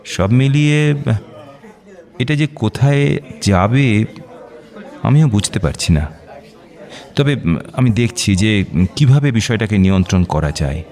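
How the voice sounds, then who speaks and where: A man acting in a radio drama as a reassuring doctor who explains things deliberately, with measured pauses. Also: on the low side, animated, a little mellow. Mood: cautious. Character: measured, reassuring male doctor counselling a client.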